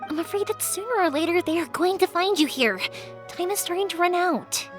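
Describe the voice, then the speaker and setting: A woman voicing a spirited, caring young fireworks maker in an anime-style video game, bubbly, impulsive and quick to reassure. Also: very high voice, over music. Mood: concerned.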